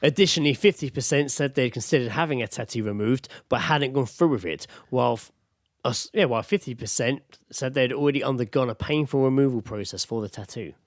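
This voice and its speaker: A man's voice: medium pitch, quick pace, no room sound, clean studio sound. An English man co-hosting a podcast, bantering casually about the news in a teasing, colloquial and sweary way. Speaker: podcast co-host. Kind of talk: casual comic chat, commenting on news.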